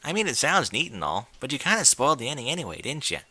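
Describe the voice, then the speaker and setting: Man doing a brash, bright-voiced girl pegasus. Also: mid-range voice, very animated, fast talker, breathy edge, pitched above the performer's own voice, heavily acted. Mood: cocky. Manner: blunt, slangy, casual delivery. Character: brash, cocky young female pegasus pony.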